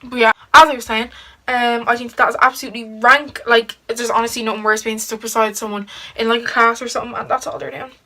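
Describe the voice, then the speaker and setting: A teenage girl chats her way through a makeup vlog, easygoing and full of fillers. Her voice is bright and slightly high, with a clear, sunny ring to it. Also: steady tone, fast. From Ireland. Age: teens. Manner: chatty, giggly, lots of "like" fillers. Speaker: beauty vlogger. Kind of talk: casual get-ready-with-me chat.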